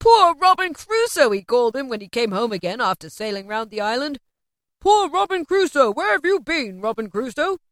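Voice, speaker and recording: A woman's voice: a little high, lively intonation, brisk, no room sound, natural voice. An old miser played by a woman from a storybook, full of tearful nostalgia. He exclaims and stammers in an emotional voice, somewhere between laughing and crying, recorded close and dry. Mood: ecstatic.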